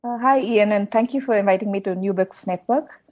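Mellow-voiced South Indian woman academic. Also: mid-range voice, a little fast, dull audio. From Bangalore, India. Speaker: academic and author, interview guest. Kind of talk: thoughtful explanatory interview talk.

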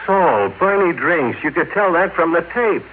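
Male actor as pushy suspicious businessman, animated intonation, accusing manner, vintage radio drama, tinny narrow sound. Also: on the high side, normal speed, natural voice. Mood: self-righteous. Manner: insistent, accusing. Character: suspicious, self-righteous businessman, the narrator's brother. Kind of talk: old-time radio drama acting. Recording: tinny, very noisy old radio recording.

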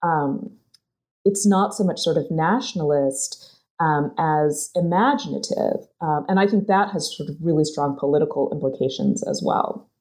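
Woman, low-pitched, academic author, hesitant, many fillers. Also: moderate pace, a little mellow. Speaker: author being interviewed. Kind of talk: academic conversation.

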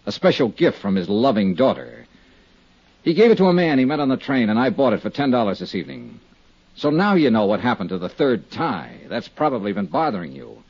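An actor in a 1950s radio drama playing a shrewd, hard-boiled insurance investigator, husky, clipped and sardonic. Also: male voice, on the low side, big pitch swings, fairly quick, a little mellow, full character voice. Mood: cold, accusing. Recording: dull 1950s radio recording.

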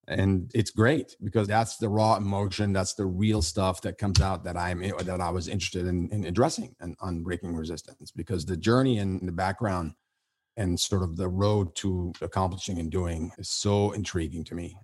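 Deep husky man, earnest and reflective. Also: fairly even intonation, moderate pace, darkish tone. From Syria. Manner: earnest, reflective, rambling with many restarts. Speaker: podcast host being interviewed. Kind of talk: conversational podcast interview.

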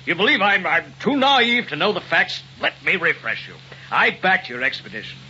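An actor playing an impatient, domineering doctor in an old-time radio drama, scornful, demanding and theatrical in delivery. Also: male voice, sits high, sing-song, moderate pace, sharp tone, exaggerated voice. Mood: scornful, angry. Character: impatient, domineering male doctor bankrolling an expedition. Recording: dull old radio recording with hum.

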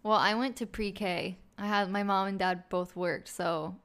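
Young woman, mid-pitched voice, animated storyteller, quotes herself, podcast co-host. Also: unhurried, smooth. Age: young adult. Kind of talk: casual chat.

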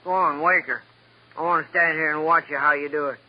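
An actor playing a grumbling, nosy, coarse caretaker with slangy, griping delivery in a 1950s radio drama. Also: male voice, on the high side, steady tone, fairly quick, natural voice. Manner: griping, slangy, loud calling. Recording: muffled, hissy old radio recording.

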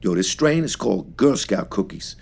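An actor playing an exuberant middle-aged New shop owner and stoner in an audio drama, with a husky, gravelly voice and effusive, slangy patter. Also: male voice, fairly low pitch, animated, normal speed, darkish tone, full character voice. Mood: excited, salesman-like. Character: exuberant, friendly neighborhood empanada-shop owner and stoner man. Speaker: actor in scripted fiction podcast.

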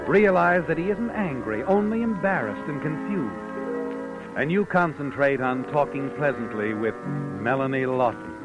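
An actor playing a knowing, needling storyteller in old-time radio suspense, narrating dramatically and deliberately. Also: male voice, medium pitch, lively intonation, lo-fi sound, close to an everyday voice. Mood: sly. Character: knowing, insinuating male storyteller addressing the villain. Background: music.

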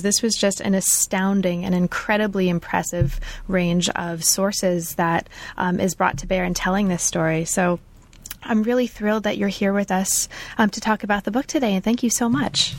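A woman's voice: mid-range voice, fairly even intonation, normal speed, dry recording. A woman hosting an academic book interview, speaking with enthusiastic, effusive praise and frequent fillers. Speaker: podcast host.

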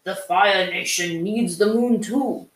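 A woman voicing an older male general with a deeper, rougher voice, calm but stern. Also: slow pace, echoey room, distant mic, natural voice, rough recording.

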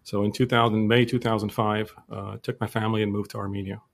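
A low-voiced man in his forties, from Lebanon, recounting his life to interviewers. His delivery is flat and even, measured and unhurried, with plenty of ums and uhs. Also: creaky. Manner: steady, measured storytelling with frequent fillers. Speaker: guest. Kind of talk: casual interview.

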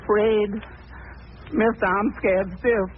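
A man playing a frightened, folksy farmhand with a Southern US accent and an excitable manner, in 1940s radio style. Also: high-pitched, slow pace, a little hiss or hum. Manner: excitable, folksy, comically exaggerated. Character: frightened, folksy farmhand driving a hay wagon. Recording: tinny old radio recording, music.

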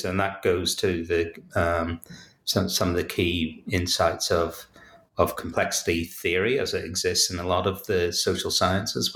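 A man's voice: sits low, fairly bright tone, reverberant. A man with a Belfast accent, an author giving thoughtful, hesitant interview answers full of fillers and restarts. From Northern Ireland. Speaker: author and academic guest.

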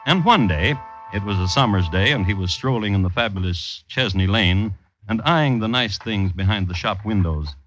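An actor playing a droll, scholarly crime storyteller, narrating formally and deadpan in 1950s radio style. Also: male voice, fairly low pitch, moderate pace, soft background music, low-quality recording. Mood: wry storytelling. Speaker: host and narrator.

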